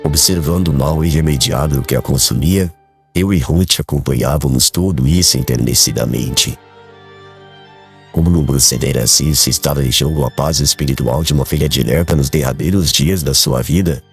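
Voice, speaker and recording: A man playing a calm, kindly, grieving old country father in a dramatized audiobook, speaking in a measured, gentle and formal way. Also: very deep voice, gravelly, faint music behind. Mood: sorrowful, earnest.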